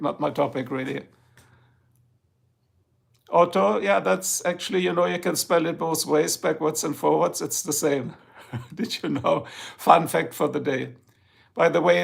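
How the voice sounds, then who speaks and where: A man's voice: on the high side, gravelly. An older man from Germany with a German accent, hosting a rambling, jokey live stream chat from home and talking to his viewers by name. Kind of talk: casual chat. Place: home, in Ohio.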